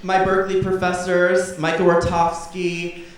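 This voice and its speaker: A man's voice: high-pitched, monotone, measured pace. A man in his twenties giving an informal, chatty and effusive thank-you speech to an audience from a stage. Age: 20s. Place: theatre stage, in front of an audience. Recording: echoey theatre from far off, audience noise.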